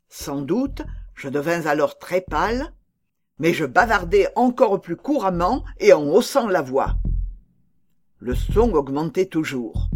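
A woman reading a gothic horror story as a guilt-maddened murderer, in a dramatic, deliberate manner. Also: on the low side, darkish tone, slightly rough, exaggerated voice. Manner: dramatic, deliberate, building to frenzied cries.

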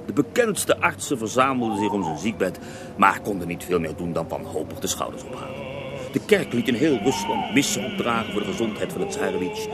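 A man's voice: on the low side, very animated, fairly quick, over loud music. An actor playing a resentful Russian holy man who recounts his life in a radio drama, with a scornful, theatrical edge. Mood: grave. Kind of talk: radio drama acting, interview-like narration.

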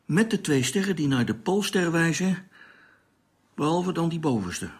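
A grumbling, down-to-earth crewman in a space radio drama. His voice is slightly high, gravelly and husky, with a nervy, hesitant way of talking. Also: big pitch swings, a little slow, heavily acted. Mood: uneasy, wondering.

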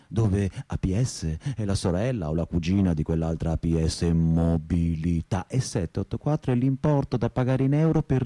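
Male actor as bitter, broke man, dark low voice, dry sound, lyrical radio drama narration. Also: no echo, natural voice. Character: weary, broke, bitter night worker, poetic narrator. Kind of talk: theatrical radio drama monologue.

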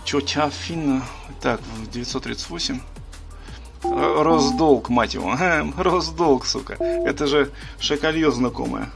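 A man's voice: medium pitch, measured pace, vocal fry, over music. A man making prank calls, mocking and crude, swearing freely. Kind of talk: prank phone call.

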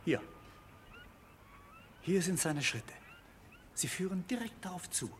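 A man's voice: sits high, very animated, slow, a little hiss or hum, heavily acted. An actor playing a timid, put-upon brother in a radio drama, nervous and hesitant in his speech. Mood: uneasy. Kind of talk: German radio drama acting.

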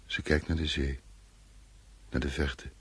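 Radio drama: brooding husband telling a dream, bottom-register voice, husky and worn. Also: male voice, very deep voice, flat delivery, a little slow, full character voice. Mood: wistful. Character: brooding husband recounting a dream of his fearful wife.

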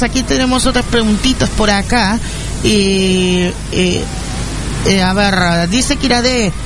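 A man with a youthful, childlike voice hosts an informal fan radio interview in a halting, hesitant way, often rephrasing himself. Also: sits high, background noise. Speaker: radio show host.

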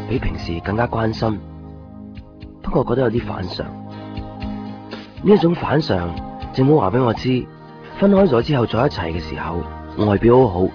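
An actor playing an earnest, uneasy boyfriend in a radio drama, understated, with a Hong Kong accent. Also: male voice, on the low side. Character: earnest, uneasy boyfriend clinging to fragile love. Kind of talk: radio drama dialogue and narration. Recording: dull 1990s radio recording, music behind. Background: clear background music.

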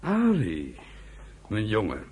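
An actor playing an embittered, fervent old uncle who leads an outlawed militia in a Dutch radio play, speaking in a rough, halting, emphatic way. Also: male voice, lowish voice, huge pitch swings, noisy background, full character voice. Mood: fond. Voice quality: husky, rough.

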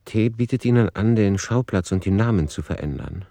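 Male radio play narrator: deep register, factual, analytical, no room sound. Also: animated, brisk, warm tone, dry recording, studio quality.

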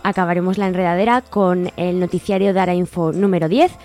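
A woman's voice: on the low side, steady tone, brisk, darkish tone. A woman with a Spanish accent from Spain co-hosting a live community radio show. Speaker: radio co-host. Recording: music playing behind the voice. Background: music.

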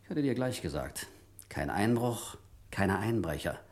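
Brazen crook, swinging pitch, echoey. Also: male voice, expressive, a little slow. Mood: mocking, menacing. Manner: mocking, falsely hearty, chuckling. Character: brazen male crook on the run, mock-jovial, menacing. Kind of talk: vintage German radio crime drama acting. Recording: echoey vintage radio play studio.

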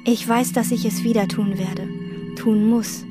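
Actress voices a brooding maid's inner voice, fairly high, over loud music. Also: female voice, fairly even intonation, unhurried, lightly acted. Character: obsessive young hotel maid, inner monologue. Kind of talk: radio drama inner monologue.

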